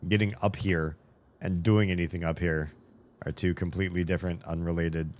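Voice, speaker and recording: A man's voice: deep voice, steady tone, normal speed, a little mellow. A man giving casual commentary as he plays a video game, thinking aloud, swearing now and then, his voice a little worn. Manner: rambling, thinks aloud, swears and laughs while playing. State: slightly losing his voice. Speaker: game streamer. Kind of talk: casual let's-play commentary.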